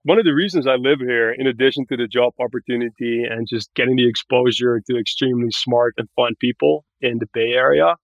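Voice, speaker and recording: Man, podcast guest, casual, explanatory, medium pitch, dry recording. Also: fairly quick, hardly any pauses, dry recording, highs cut off. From Barbados. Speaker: podcast guest, tech growth manager. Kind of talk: casual interview chat.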